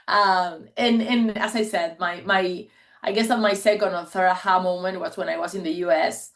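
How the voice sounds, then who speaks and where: A woman in her forties, a native Spanish speaker, talks to an online meeting through a video call mic. Her pitch sits in an ordinary middle range. She tells her stories in an earnest, chatty way and laughs often. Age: 40s. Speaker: guest speaker.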